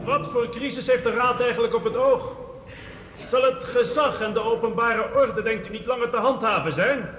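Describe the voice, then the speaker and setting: In an echoing hall, a male delegate with a very high voice. He questions formally in radio drama style. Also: moderate pace, fairly bright tone. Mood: questioning, concerned. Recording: echoey hall, dull sound.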